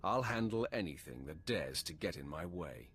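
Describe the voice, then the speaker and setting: An actor playing a curt, arrogant dark warrior in a video game, blunt and menacing. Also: male voice, deep voice, animated, normal speed, slight background noise. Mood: curt, haughty. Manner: blunt, haughty. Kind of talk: cinematic video game voice acting.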